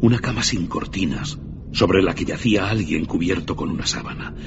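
An actor as the grave narrator of a radio drama ghost tale, telling it in a measured, solemn way. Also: male voice, sits low. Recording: muffled, with clear ambient noise.

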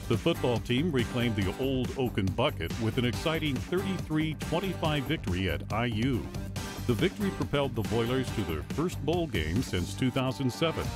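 A man's voice: mid-range voice, very animated, normal speed. A man with an American accent reading a scripted newsreel narration. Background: music bed.

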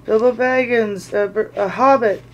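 Small, scared male hobbit, gasping pleas, higher-pitched, as the reader puts him on. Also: fairly even intonation, moderate pace, highs cut off, natural voice. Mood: pleading.

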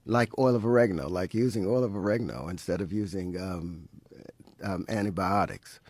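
Man in his fifties, husky low voice, halting restarts, dry close mic. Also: darkish tone, dry recording. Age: 50s. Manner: halting, stops and restarts, laughs often. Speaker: podcast guest. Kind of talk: interview conversation. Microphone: podcast mic with headphones.

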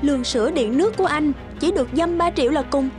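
A woman's voice: sits high, quick pace, over music, close to an everyday voice. A woman playing a discontented, ambitious young wife in a cartoon, curt and complaining. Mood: irritated.

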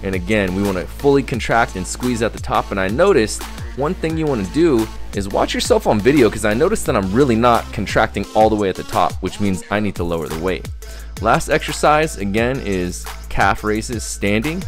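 A man's voice: fairly low pitch, animated, moderate pace, soft background music. A man in his thirties giving casual workout instruction in an upbeat coaching tone, from a living room and then a gym. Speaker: fitness vlogger.

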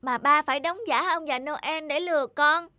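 An actor voicing an earnest, pleading little boy in an animated children's story, speaking in an insistent, childlike way. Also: high-pitched, moderate pace, dry recording. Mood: hurt, accusing.

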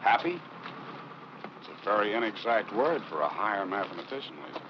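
An actor playing a thoughtful, disillusioned scientist in a 1950s film, with a wry, halting, reflective manner. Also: male voice, fairly low pitch, very animated. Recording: muffled, noisy old film soundtrack.

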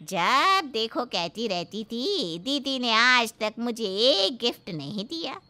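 Woman as elderly cartoon aunt, sharp-tongued, sarcastic, forceful, very animated pitch. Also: heavily acted. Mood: smug. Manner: taunting, sarcastic, drawn-out delivery. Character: sharp-tongued, grumbling elderly aunt-in-law, bossy and taunting. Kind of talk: animated Hindi family moral story acting.

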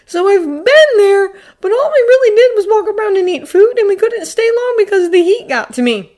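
An excitable teenage boy from an audio story, acted out by a woman narrator. The voice sits very high and leaps around with bashful eagerness, hesitating and then rambling on. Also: normal speed. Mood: excited, embarrassed.

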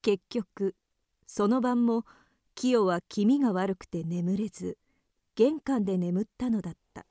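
A woman narrating a radio drama from a script in a measured, composed manner. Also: medium pitch, mellow, dry recording, clean studio sound.

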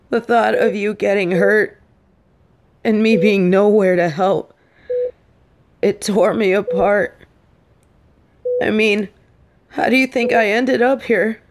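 A fiercely protective female secret agent, acted by a woman, delivers an intimate audio drama monologue. She scolds with heavy emotion and emphasis, taking her time over the words. Her voice sits at a middling pitch for a woman. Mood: upset, insistent. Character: suave female field agent, fiercely protective, angry and lovestruck. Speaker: voice actor in an audio roleplay.

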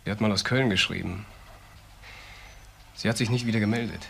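An actor playing a subdued young ex-convict in a crime radio play, giving halting, simple answers. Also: male voice, fairly low pitch, creaky, a bit bright, slight background noise. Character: subdued, hesitant young male ex-convict suspect. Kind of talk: German crime radio drama dialogue.

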